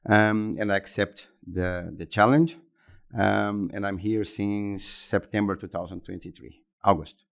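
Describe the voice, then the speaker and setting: A man in his fifties from Brazil, speaking English with a Brazilian Portuguese accent as an interview guest, talking haltingly and often repeating words and restarting phrases. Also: fairly low pitch, steady tone, creaky, clean tone. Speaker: interview guest, exhibition center executive. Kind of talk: conversational interview answers.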